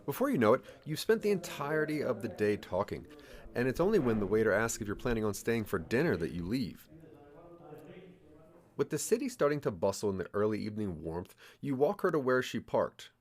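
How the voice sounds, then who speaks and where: A man's voice: medium pitch, sing-song, fairly quick. A man narrating a scripted second-person story as the narrator of an audio drama.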